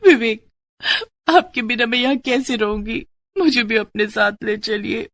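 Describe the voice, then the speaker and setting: Woman playing a plaintive young cartoon bride, raised pitch. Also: fairly even intonation, unhurried, a bit bright, no room sound, clean studio sound. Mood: tearful, pleading. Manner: plaintive, polite, pleading. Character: young newlywed daughter-in-law, meek, hurt, pleading. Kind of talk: Hindi moral-story cartoon acting.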